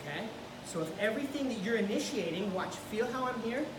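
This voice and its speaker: A woman's voice: a little high, expressive, brisk. A woman teaching jiu-jitsu, encouraging and walking a student through each move as they grapple. Her voice comes from far across a large gym, with a roomy echo and a noisy background. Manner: encouraging, coaching step by step while grappling. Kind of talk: hands-on technique teaching. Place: large indoor gym with mats. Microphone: camera far across the room.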